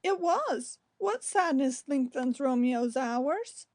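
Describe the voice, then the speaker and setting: A woman playing a concerned, gentle young male cousin in a Shakespearean drama, with an earnest, deliberate delivery. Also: sits high, lively intonation, full character voice.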